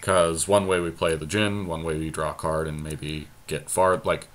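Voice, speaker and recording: A man with a low, forward, bright voice talks through a game as it happens. He sounds informal, weighing each option aloud in short phrases, like a podcast host commenting on his own play. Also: steady tone, normal speed.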